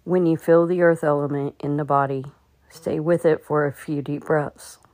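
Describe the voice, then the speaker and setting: Low, even-toned woman guiding meditation. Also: no echo. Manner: gentle instruction with long pauses. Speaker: meditation guide and podcast host. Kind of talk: guided meditation.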